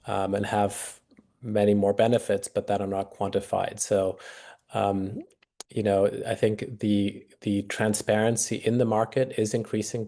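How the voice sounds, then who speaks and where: A man's voice: on the low side, warm tone. A man answering questions in an online session, hesitant, with many fillers and restarts. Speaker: guest speaker. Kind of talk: webinar Q&A answers.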